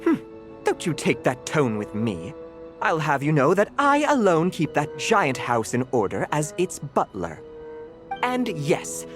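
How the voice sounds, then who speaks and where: An actor voicing a haughty, fussy cat butler in a video game, speaking in a prim, pompous, formal way. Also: mid-range voice.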